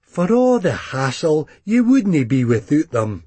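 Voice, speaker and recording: Audiobook: man voicing a doting Scottish mother, husky, high and lilting, fond and gossipy. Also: big pitch swings, measured pace, dark tone, no room sound, full character voice. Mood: chatty. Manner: doting, sing-song.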